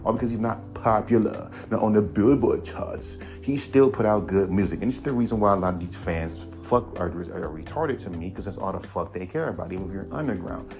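A man hosting a podcast, ranting bluntly and profanely in a casual monologue, with a voice he calls monotone. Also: on the low side, brisk, few pauses. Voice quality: self-described monotone voice. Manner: blunt, profane, animated rant. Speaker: podcast host. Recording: music playing behind the voice.

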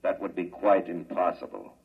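A smug, cold mastermind from an old radio play, explaining things with unhurried condescension. His voice has a slightly husky grain and sits back from the microphone on a muffled vintage recording. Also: sits low, very animated, recorded from a distance, heavily acted. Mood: smug, calm. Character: coldly smug male mastermind running a secret experiment. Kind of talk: old-time radio drama acting.

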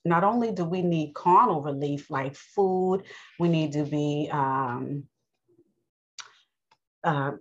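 Low-voiced preaching woman from New York. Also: lo-fi sound. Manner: earnest, unhurried, with many pauses and fillers. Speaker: podcast host and preacher. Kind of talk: informal spoken sermon.

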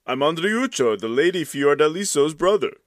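A man's voice: a little high, sing-song, moderate pace, no room sound, clean studio sound, voice pushed up. A man voicing a young man, cheated and locked out, who pleads and protests at a door in a storybook reading. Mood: pleading. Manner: pleading, indignant.

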